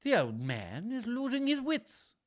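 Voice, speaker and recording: A man's voice: very high voice, wildly swooping pitch, a little slow, voice pushed up, exaggerated voice. A man voicing a resentful, scheming princess in a storybook reading, complaining pointedly. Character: resentful scheming princess, a grown daughter of a king.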